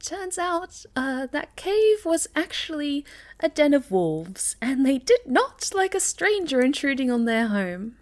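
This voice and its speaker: A woman acts out a sweet young moth girl with a fairly high voice. She is shy and hesitant, telling her story softly and intimately as ASMR roleplay. Her gentle speech often sinks into a breathy near-whisper. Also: sing-song, a little slow. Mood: hesitant storytelling.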